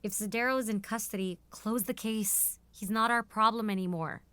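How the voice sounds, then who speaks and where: A woman's voice: medium pitch, normal speed, pushed and bright, clear, resonant tone, no room sound, natural voice. A woman playing a defiant, no-nonsense military strategist in a scripted drama, speaking in a firm, assertive way. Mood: impatient, businesslike. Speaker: voice actor in audio drama.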